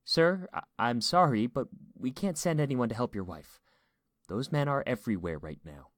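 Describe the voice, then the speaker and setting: A man's voice: low voice, moderate pace, husky, no room sound, studio quality. A man voicing a fearful female emergency dispatcher in an audiobook, with a shaky, hesitant, secretive manner. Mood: shaky, apologetic.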